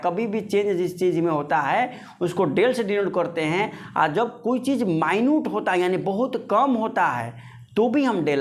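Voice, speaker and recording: A man giving an informal physics lesson, repeating phrases and posing questions that he answers himself, addressing listeners as friends. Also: high-pitched. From India. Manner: conversational, repeats phrases, poses and answers his own questions. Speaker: physics teacher.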